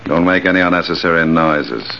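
Cold-blooded armed intruder, old radio drama, extremely low and gravelly, controlled threats. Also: male voice, a little fast, pushed and bright. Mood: cold menace. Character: cold, menacing male intruder with a gun. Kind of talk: 1940s radio acting. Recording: muffled old radio with faint noise.

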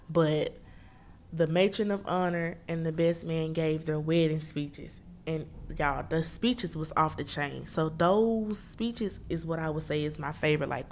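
A woman hosts a relaxed book review and speaks in a low, deep register. She is chatty and slangy. She addresses her listeners directly, as if they were friends. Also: a little hiss or hum. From Memphis, Tennessee.

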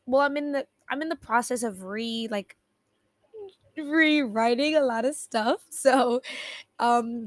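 Young woman host, slightly high voice, raspy morning voice, rambly and giggly, dry close mic. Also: dry recording. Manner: rambling, self-interrupting, giggly. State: raspy just-woken voice. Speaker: podcast host. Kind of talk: casual solo podcast chat.

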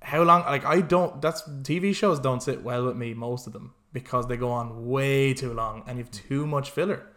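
A man hosting a casual podcast, talking in an animated, rambling, opinionated way. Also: mid-range voice, moderate pace, few pauses. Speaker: podcast host.

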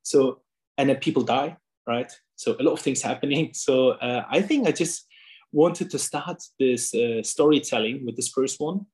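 A man's voice: medium pitch, normal speed, darkish tone. A man whose first language is German, talking earnestly in a casual interview from his kitchen table, with frequent fillers and restarts. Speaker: author and guest.

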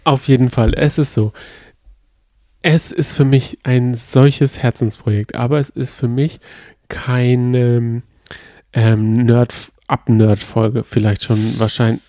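A man co-hosting a podcast, chatting casually and talking about his passion with earnest enthusiasm and halting restarts. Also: mid-range voice, steady tone, mellow, dry recording, clean studio sound. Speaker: podcast co-host. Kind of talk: casual chat.

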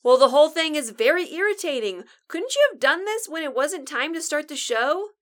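A woman's voice: high-pitched, lively intonation, fairly quick. A woman playing a bossy, sarcastic apocalypse survivor who co-hosts a radio show with dry exasperation. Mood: irritated. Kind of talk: scripted comedic audio drama, bantering radio show.

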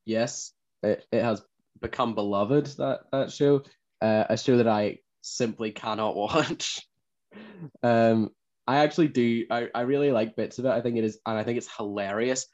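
A man's voice: medium pitch, normal speed, a little mellow, highs cut off. A man with a Northern Irish accent co-hosting a podcast, chatting casually and laughing often at himself. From Northern Ireland. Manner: laughing often, self-deprecating. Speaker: podcast co-host. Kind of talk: casual chat.

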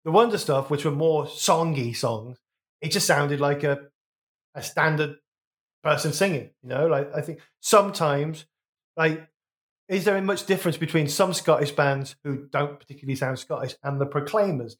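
A man's voice: a little high, moderate pace. Chatty man with a West Midlands accent. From Wolverhampton, England. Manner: chatty, rambling with restarts. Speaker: podcast co-host. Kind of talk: casual music chat.

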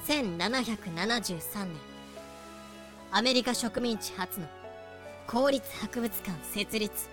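A woman's voice: medium pitch, takes it slowly, long pauses, natural voice. A woman acting a haughty wise wolf woman who sells slaves, using proud, old-fashioned courtly speech in a fan-made voice drama. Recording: music behind the voice.

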